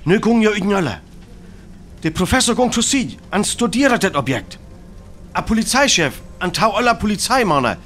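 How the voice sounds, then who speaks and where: An actor playing an alarmed radio reporter in a North Frisian radio play, giving an eyewitness account with a North Frisian accent. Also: male voice, high-pitched, very animated, normal speed, a bit bright, slight background noise. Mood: tense watching. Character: alarmed male radio reporter describing an unfolding alien attack.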